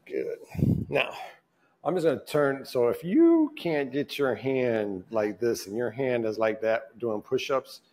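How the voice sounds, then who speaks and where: A man's voice: medium pitch, big pitch swings, measured pace, darkish tone. A middle-aged man coaching a wrist mobility class in a home gym, giving cues and counting out holds, recorded by a camera some way off. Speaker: fitness coach.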